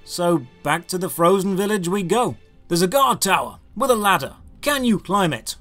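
A man's voice: high-pitched, animated, moderate pace, a bit bright, faint music behind. A British man in his thirties narrating a scripted, critical video game review in an emphatic, sardonic manner. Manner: emphatic, rhetorical questions, sardonic.

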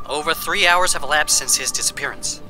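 A man playing a formal, measured television news reporter in a horror manga dub. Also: fairly high pitch, over music, close to an everyday voice, rough recording. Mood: grave, formal. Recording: loud background sound bed.

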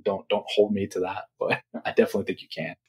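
Low-voiced man chatting as podcast guest. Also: little pitch movement, fast talker, creaky, husky. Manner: conversational, often says "right?". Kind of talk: casual interview answers.

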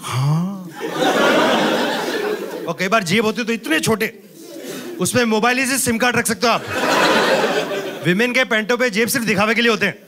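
A man in his thirties performing an animated stand-up comedy set on a club stage with a handheld mic, teasing the audience. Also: high-pitched, moderate pace, long pauses. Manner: animated, gestures a lot, teases the audience. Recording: loud crowd laughter and noise. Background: audience laughter.

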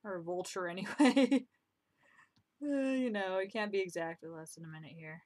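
A young woman casually commenting on her own drawing as she works, chatty and self-deprecating, with quiet muttered asides, recorded at home on a desk mic. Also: mid-range voice, normal speed. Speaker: drawing vlogger. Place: home room, indoors.

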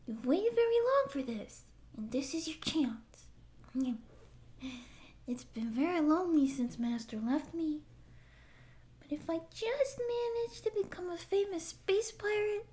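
A young catgirl space pirate in a sci-fi audio roleplay. Her voice is tiny, girlish and extremely high, and she speaks slowly, trying to bluster but sounding timid and eager underneath. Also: lively intonation, a little mellow. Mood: nervous, hopeful. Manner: nervous bluster that slips into meek rambling. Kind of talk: scripted ASMR audio roleplay.

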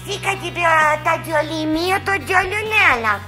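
A woman's voice: extremely high pitch, animated, normal speed, music in the background. A woman with a Brazilian accent voicing a chatty, nervous, affectionate young male house cat in a pet vlog. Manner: sweet, coy, chatty to viewers.

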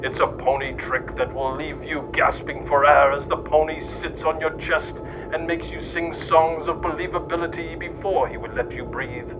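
A man's voice: a little high, fairly even intonation, normal speed, hardly any pauses, background noise. A middle-aged man reading scripted, satirical mock-documentary narration. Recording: muffled lo-fi narration under music. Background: music.

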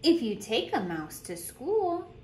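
A woman reading a picture book aloud like a teacher, telling it deliberately and putting questions to young listeners. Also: fairly high pitch, sing-song, mellow. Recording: roomy echo with clear background noise.

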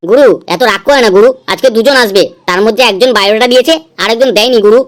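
Lady doing a meek sidekick guy for a funny cartoon, highish voice, coaxing, eager to please. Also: rapid-fire, natural voice. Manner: deferential, coaxing. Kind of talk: comedy cartoon voice acting.

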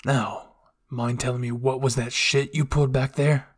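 A man's voice: mid-range voice, flat delivery, moderate pace, breathy edge, close to an everyday voice. A man voicing a blunt military captain who scolds curtly but secretly cares, in an anime fan fiction roleplay. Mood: irritated. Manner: curt, scolding, awkwardly tender. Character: gruff, blunt soldier captain, secretly caring.

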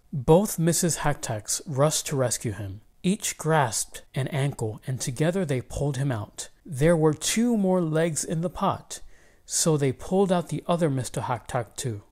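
A woman reads a children's picture book aloud. Her voice sits quite low and moves at a very measured, slow pace, with expressive storytelling delivery. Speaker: storybook reader.

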